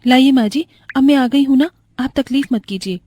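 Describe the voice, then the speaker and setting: A gentle poor young bride from a bedtime cartoon, acted by a woman storyteller. Her voice sits slightly high, and she is devoted, polite and respectful. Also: moderate pace. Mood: dutiful. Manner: respectful, polite, soft-hearted.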